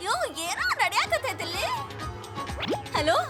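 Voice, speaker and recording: A woman voicing a vain, bossy, excitable cartoon policewoman with a North Karnataka accent and an exclamatory delivery. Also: extremely high pitch, normal speed. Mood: alarmed, bossy. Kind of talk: animated cartoon dubbing. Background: loud music.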